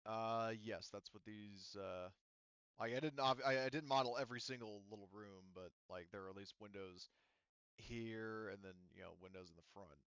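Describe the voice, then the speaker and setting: Clear, low-voiced male game master. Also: normal speed, fairly bright tone, no echo, lo-fi sound. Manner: hesitant, thinking aloud. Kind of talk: casual tabletop game narration and rules talk.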